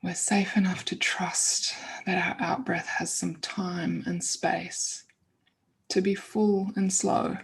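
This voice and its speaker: A woman guides a meditation in an informal, soothing manner. Her voice is husky and breathy, with plenty of creak at the edges. She speaks without hurry and often lets phrases fade to a near-whisper. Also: fairly low pitch, level tone, takes it slowly.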